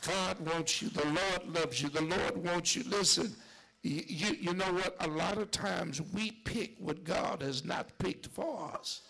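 Male preacher, high gravelly voice, husky and bright, slow deliberate delivery, swings from shouts to whispers. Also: low-quality recording. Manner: call-and-response church cadence, repetition. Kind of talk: sermon.

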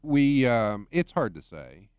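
A middle-aged man speaking as a healthcare administrator in a radio interview, measured and hesitant with frequent restarts. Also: medium pitch, sing-song, darkish tone, clean tone, no echo.

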